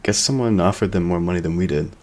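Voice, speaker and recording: A man's voice: low-pitched, quick pace, darkish tone, natural voice. A man acting a stoic, wry veteran mercenary with a laconic delivery in a sci-fi audio drama.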